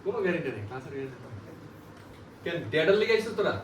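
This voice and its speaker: Male repair instructor, high voice, emphatic question-and-repeat teaching, distant echoey classroom sound. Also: lively intonation, racing. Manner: repetitive, emphatic teaching with frequent rhetorical questions. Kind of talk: classroom lesson, explaining with check questions. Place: indoor classroom. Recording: echoey room, distant mic, faint background noise.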